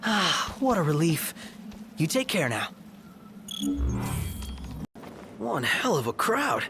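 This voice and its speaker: A boyish, upbeat companion with animated, exclamatory speech. He is casual and excitable, a young sidekick in a game cutscene, with clear car noise behind. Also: a little high, unhurried. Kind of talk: video game cutscene acting.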